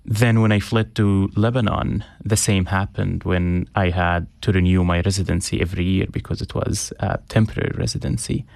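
A young man from Syria, an interview guest speaking earnestly, pausing with frequent ums and restarts. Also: low voice, fairly even intonation, vocal fry, a little mellow, dry recording. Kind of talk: reflective interview conversation.

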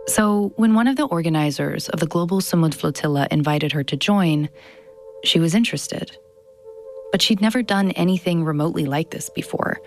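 A woman's voice: fairly low pitch, moderate pace. A woman, around her thirties, narrating a scripted audio documentary as its host. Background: music bed.

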